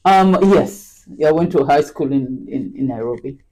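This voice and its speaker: A middle-aged woman from Kenya, a podcast guest talking in an unhurried, casual way, with lots of fillers and restarts. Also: extremely low pitch, lively intonation, warm tone, poor audio. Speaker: podcast guest, real estate agent. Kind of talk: casual interview chat.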